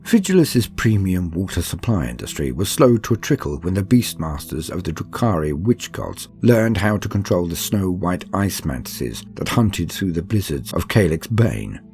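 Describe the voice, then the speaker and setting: A man giving a calm, steady, measured reading of a scripted story, in the style of a soothing audiobook narrator. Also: sits low, soft background music. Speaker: narrator reading a campaign book aloud.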